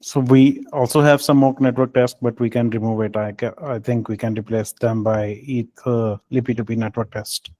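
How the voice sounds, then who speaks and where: A man leading a technical team call, speaking in a halting, hesitant way with filled pauses. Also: mid-range voice, fairly even intonation, warm tone, no room sound. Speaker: meeting lead.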